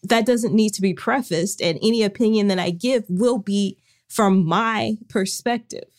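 A woman co-hosting a podcast in casual chat, speaking in a monotone, flat way. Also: mid-range voice, a little slow. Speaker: podcast co-host.

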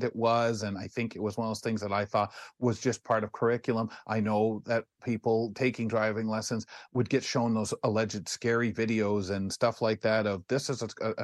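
A man's voice: lowish voice, no echo, studio quality. A man hosting a casual panel chat in a rambling, conversational way. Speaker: talk show host.

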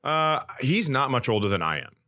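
A man's voice: mid-range voice, big pitch swings, normal speed, sharp tone. Man in his forties telling comic stories. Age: 40s. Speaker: comedian, podcast host. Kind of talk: casual comic storytelling.

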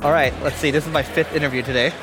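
A man hosting a podcast interview, asking quick, casual questions. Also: fairly high pitch, steady tone, music in the background, rough recording. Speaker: podcast host.

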